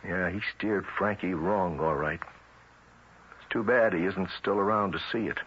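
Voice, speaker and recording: An actor playing a streetwise, grimly vengeful federal agent in 1970s radio drama, with tough, clipped, colloquial delivery. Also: male voice, sits low, a little slow, a bit bright. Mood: bitter, brooding. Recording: muffled vintage radio recording, faint hiss.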